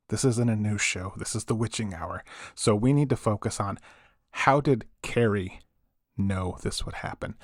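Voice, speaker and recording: Man acting as low-voiced late-night radio host. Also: no echo, clean studio sound. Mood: convinced, amazed. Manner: theatrical, suspenseful, punchy short phrases. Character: fictional 1980s late-night paranormal radio host, intrigued storyteller.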